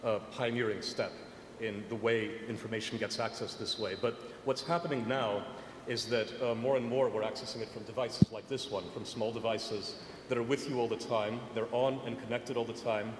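A man's voice: mid-range voice, steady tone, moderate pace. A man speaking energetically on a conference panel, with many fillers. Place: large conference hall. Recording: echoey conference hall, faint noise.

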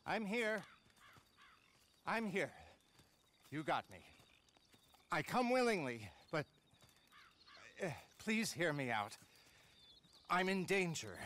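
An actor playing a flustered, genteel politician on the run, hesitant and pleading, in a western game. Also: male voice, high-pitched, sing-song, slow, pauses often, a bit bright, exaggerated voice. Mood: pleading, nervous. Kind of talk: dramatic western game acting.

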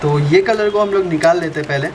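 A man's voice: fairly high pitch, a little fast. Chatty young man, roomy noisy recording. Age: young adult. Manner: chatty, explains step by step to viewers. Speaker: motovlogger. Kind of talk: casual how-to tutorial. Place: small room indoors at a desk. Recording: roomy with clear background noise.

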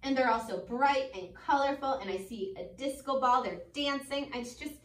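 A woman in her twenties doing an expressive, sing-song read-aloud for young children, as a teacher who asks questions and waits for answers. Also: sits high, normal speed. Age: 20s. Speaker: preschool teacher. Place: indoor school classroom. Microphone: camera a few metres away. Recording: echoey room, distant microphone.

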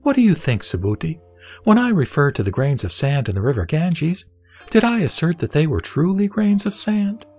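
A man playing the Buddha as a serene teacher, reciting scripture in a measured, didactic, emphatic way. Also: a little high, big pitch swings, darkish tone, breathy edge, soft background music, exaggerated voice. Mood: questioning, instructive. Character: the Buddha, serene male spiritual teacher instructing a disciple. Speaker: sutra audio drama reader.

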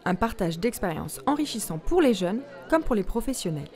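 A woman's voice: medium pitch, sing-song, moderate pace, darkish tone. A woman reading a scripted report voice-over as narrator. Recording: music and crowd chatter behind. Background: music and crowd noise.

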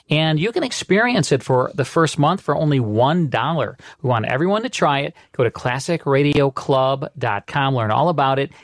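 A man's voice: mid-range voice, dry recording, studio quality. An American man hosting a radio show in a conversational presenting and promotional style. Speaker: radio host. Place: studio.

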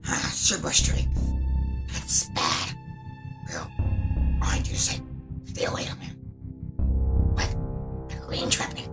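A performer playing a power-hungry but slacker-like game villain with a breathy, croaky voice, mumbling offhandedly in a comedy dub. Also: medium pitch, huge pitch swings, slow pace, long pauses, heavily acted. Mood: baffled. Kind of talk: improvised comedy fan dub of a video game. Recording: loud music behind the voice.

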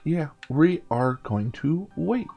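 A man's voice: on the high side, very animated, slow, vocal fry, warm tone, faint music behind. A man hosting a gaming show, reading a fantasy story theatrically with casual commentary.

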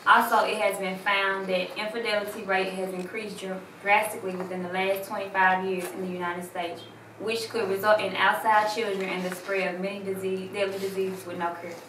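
An echoey room with faint hiss carries a woman's mid-pitched voice. She reads a scripted awareness statement as a trailer presenter. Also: little pitch movement, fairly quick, recorded from a distance. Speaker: cast member presenting a play trailer. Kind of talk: scripted public-awareness announcement. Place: small living room, seated before camera.

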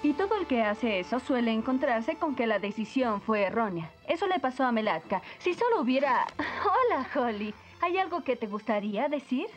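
A woman's voice: fairly high pitch, normal speed, few pauses, soft background music. A woman playing a friendly warrior heroine who gives an instructive moral to cartoon viewers. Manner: instructive, expressive.

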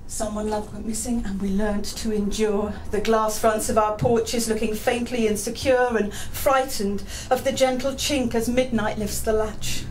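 Higher-voiced English woman reading poetry live. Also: darkish tone, poor audio. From England. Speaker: poet. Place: small dim pub room with seated audience. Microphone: handheld-type microphone on a stand. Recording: live venue microphone with background noise.

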